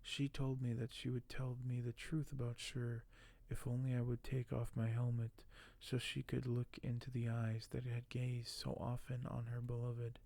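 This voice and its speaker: A man speaking softly and gently for an ASMR video, sometimes close to a whisper, with a halting delivery as host. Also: mid-range voice, monotone, gravelly. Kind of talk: soft-spoken ASMR, partly whispered; reading aloud and commenting on collectibles.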